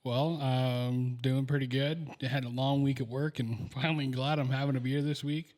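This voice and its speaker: A young man with a mid-range voice chats on a casual podcast. He keeps an easygoing, relaxed tone and fills pauses with "um" and "like.". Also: medium pitch. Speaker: podcast co-host. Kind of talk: casual chat.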